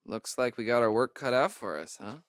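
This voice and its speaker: Man playing young American guy, wisecracking camp counselor, horror video game, hesitant slangy speech, animated intonation, dry close sound. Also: mid-range voice, brisk, dry recording, close to an everyday voice. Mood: wry. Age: young adult. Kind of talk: naturalistic horror game acting.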